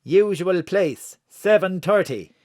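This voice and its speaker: A woman's voice: on the low side, animated, slow pace, darkish tone, dry recording. Audio drama role: a secretive woman plotter, cryptic wording, very varied intonation, dry close recording. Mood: conspiratorial.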